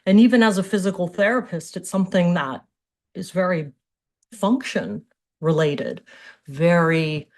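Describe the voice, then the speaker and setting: American woman, middle-aged, kinda low voice, chatty informal online talk as a physical therapist. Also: unhurried. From Hawaii, United States. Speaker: pelvic floor physical therapist presenting. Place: indoors on a video call.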